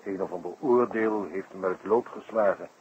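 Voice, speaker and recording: An actor playing a genial, teasing friend and proud local guide in an old radio play. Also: male voice, lowish voice, brisk, a little mellow, natural voice. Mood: storytelling. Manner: teasing, storytelling. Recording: dull old radio recording, faint noise.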